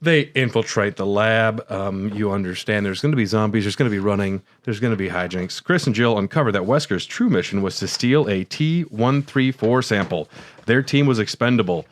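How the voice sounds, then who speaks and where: A man's voice: on the low side, lively intonation, normal speed. A man co-hosting a podcast, reading a summary with wry, playful asides. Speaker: podcast co-host.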